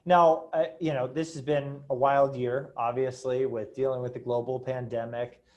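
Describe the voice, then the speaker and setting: A young man hosts a casual interview in a friendly, upbeat way, affirming his guest with quick interjections. He often trips and restarts his questions as he speaks. His voice sits at an average pitch for a man. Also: flat delivery, darkish tone, dull audio. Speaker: interview host.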